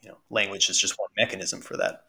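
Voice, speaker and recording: A man's voice: low-pitched, little pitch movement, fast, creaky, sharp tone, breathy edge. A podcast co-host, a man who grew up speaking Russian, thinking aloud in casual conversation with many fillers.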